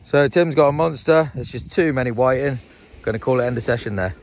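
A man in his thirties talks through his night on the beach in an easygoing vlog voice, with plenty of ums and uhs. His pitch sits in a middle range. He sounds like a regular host chatting to his viewers. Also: fairly quick. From England. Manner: relaxed, chatty, lots of fillers. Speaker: angling vlogger. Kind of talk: casual fishing vlog commentary. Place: night beach outdoors, then indoor room at home.

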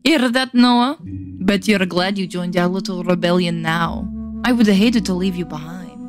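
A woman's voice: on the low side, normal speed, lightly acted. A woman playing a brash, cocky young boat-builder and rebel in a fantasy drama. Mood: teasing, persuading. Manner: brash, teasing. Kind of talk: dramatic fantasy fan-dub acting. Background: music.

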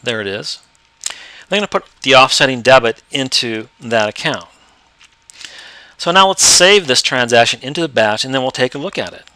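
A man explaining step by step how to use accounting software in a screen-recorded tutorial. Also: medium pitch, lively intonation, moderate pace, clear, resonant tone, no echo, poor audio. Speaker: software trainer.

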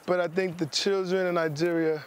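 Upbeat football player interviewed outdoors. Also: male voice, high-pitched, flat delivery, brisk.

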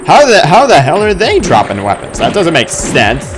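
Excitable young man with animated pitch. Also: normal speed, music in the background. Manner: excitable, exclamatory, mildly crude. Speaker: gaming video host. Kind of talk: casual gameplay commentary.

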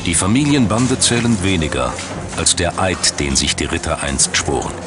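Deep male voice doing documentary narration, measured and dramatic, clear music underneath. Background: background music.

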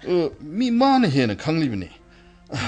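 A man's voice: a little high, huge pitch swings, very fast, a little mellow, soft background music. A man acting in an Indian radio drama with a Manipuri accent, playing an earnest man talking with a troubled woman. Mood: agitated.

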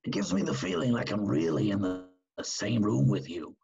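A man playing a meek, chatty, conscientious henchman with a husky, gravelly voice, earnest and deferential in a crime drama. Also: on the low side, animated, normal speed, darkish tone, highs cut off, faint music behind, full character voice. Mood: earnest hesitant. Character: meek, chatty, conscientious hired thug reporting to boss.

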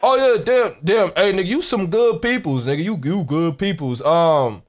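Young american guy doing a prank call, kinda high voice, brash, cusses a lot, repeats himself. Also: normal speed. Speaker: prank caller and streamer. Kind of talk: prank call, slangy confrontational banter. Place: indoor gaming setup.

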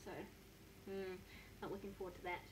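A woman's voice: medium pitch, completely flat delivery, brisk, background noise. A woman in her twenties with a New Zealand accent, chatting casually to a phone camera in her bedroom, self-mocking and upbeat. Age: 20s. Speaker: vlogger. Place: small bedroom, indoors. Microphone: phone camera close to the face.

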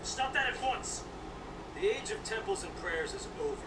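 A man's voice: high voice, expressive, moderate pace, heavy background noise. A man playing a stern, arrogant militant drill instructor in a video game, pompous, barking and full of contempt. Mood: scornful. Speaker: military trainer character. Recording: game audio with loud ambience, roomy, distant.

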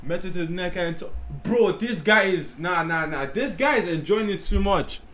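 A man's voice: high voice, lively intonation, normal speed, fairly bright tone, faint music behind. A young adult man hosting a reaction video, animatedly exclaiming and puzzling aloud over rap lyrics, recorded in a small bedroom. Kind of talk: excited reaction commentary. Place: small bedroom lit pink and red, indoors. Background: faint rap track playing.

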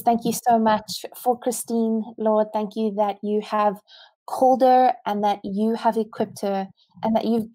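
Twenties woman leading prayer on a video call, flat and mellow, dry sound. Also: medium pitch, no room sound. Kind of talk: spoken prayer. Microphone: headset earphones on video call.

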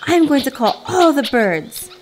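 A small, self-pitying storybook bird, acted out by a woman, pleads and complains in a high voice. The delivery is whiny and indignant and leaps around in pitch, with music underneath. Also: expressive, normal speed, creaky. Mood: indignant, furious. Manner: pleading, exclamatory, plays down own misdeeds.

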